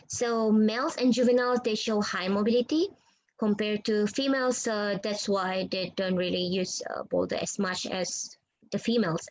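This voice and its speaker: A woman's voice: mid-range voice, rough recording. A woman researcher answering questions at an online scientific symposium.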